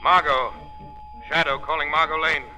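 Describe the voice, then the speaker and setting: An actor playing a mysterious, menacing male avenger with deliberate, taunting speech, in 1930s radio style. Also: a little high, steady tone, lightly acted. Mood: urgent. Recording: old radio recording with music behind.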